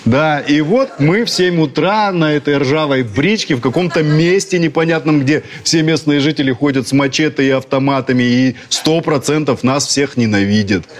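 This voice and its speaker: A man's voice: on the high side, normal speed, hardly any pauses, close to an everyday voice. A man playing a grumbling husband who complains sarcastically about a cheap bus tour.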